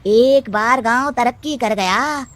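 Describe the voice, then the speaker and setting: A woman voicing a cunning male adviser in a storybook cartoon, who talks slowly and slyly with a cackle. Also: on the high side, fairly bright tone. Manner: slow, sly, cackling. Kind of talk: storybook voice acting.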